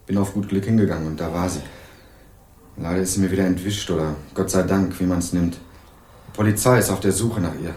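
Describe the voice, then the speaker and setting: An actor playing a young student who narrates in a dry, wry tone and questions people persistently. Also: male voice, low voice, fairly even intonation, fairly quick, darkish tone, a little hiss or hum, close to an everyday voice. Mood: troubled. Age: young adult. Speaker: first-person narrator and student. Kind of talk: scripted crime radio play narration.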